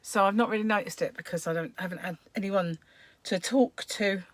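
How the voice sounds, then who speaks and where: Croaky woman, chatty livestream host, dry close sound, mid-pitched. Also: brisk, no room sound. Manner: chatty, greets viewers by name. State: croaky, clearing her throat. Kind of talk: casual live-stream chat while crocheting.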